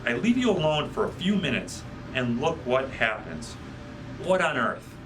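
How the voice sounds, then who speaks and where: Male reader as a storybook crow, female, bossy, protective, indignant, pitch lifted from his own, music behind. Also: very animated, moderate pace, natural voice, low-quality recording. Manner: exclaiming, pushy, colloquial.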